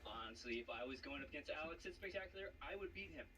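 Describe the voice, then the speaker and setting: A man's voice: on the high side, animated, quick pace, bright, forceful tone, recorded from a distance. A man competitor who stammers and insistently repeats himself in back-and-forth show talk. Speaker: trivia competitor. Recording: off-mic with clear background noise.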